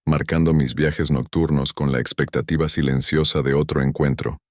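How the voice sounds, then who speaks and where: Man, extremely low voice, dry close sound, scripted horror narration, steady measured delivery. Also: dry recording.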